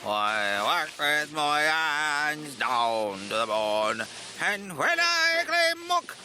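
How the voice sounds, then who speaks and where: Loopy cheerful sailor, rambling slow rhymes, big pitch swings, radio play. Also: male voice, very animated, bright, forceful tone, slight background noise, heavily acted. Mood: proudly theatrical. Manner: singsong, theatrical, rambling. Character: daft, cheerful male sailor singing nonsense work rhymes. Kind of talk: audio drama acting.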